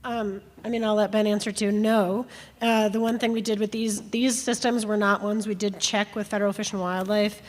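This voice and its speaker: A woman's voice: medium pitch, little pitch movement, normal speed. A woman on a conference panel, answering hesitantly with many fillers. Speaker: panel presenter. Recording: reverberant hall.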